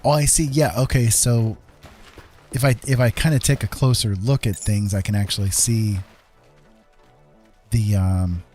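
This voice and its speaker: Low-voiced man chatting over game music. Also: fairly even intonation, unhurried, long pauses, a little mellow. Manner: rambling, thinking aloud, repeating phrases. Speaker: game streamer. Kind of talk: casual gaming livestream chat.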